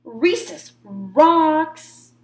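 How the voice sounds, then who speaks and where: A woman's voice: sits high, lively intonation, echoey room, pitched above the performer's own voice, full character voice. Woman as an exuberant, overeager boy shark. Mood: loud, overjoyed. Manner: exuberant, shouting, drawn-out exclamations. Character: loud, excitable young boy shark, overeager and good-hearted.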